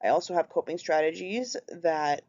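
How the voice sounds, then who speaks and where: A woman in her thirties with a Minnesotan accent and a fairly low voice reads steadily through a prepared class presentation. She sounds earnest and keeps an even, scripted delivery. The recording is slightly muffled and lo-fi. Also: normal speed. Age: 30s. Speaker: student presenter.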